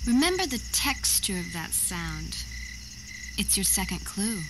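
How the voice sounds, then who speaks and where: A woman performs a menacing female captor from an audio drama. She is controlled and cryptic, giving orders and taunts at a middling pitch. Clear background noise with insect-like chirps surrounds her voice. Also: big pitch swings, measured pace. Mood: cold, commanding. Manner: cryptic, commanding, teasing.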